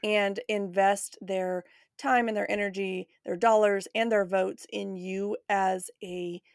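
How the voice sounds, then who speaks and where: A woman's voice: mid-range voice, steady tone, slow pace, dry recording, studio quality. A woman hosting a casual conversational interview, agreeable and engaged as she thinks aloud. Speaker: podcast host.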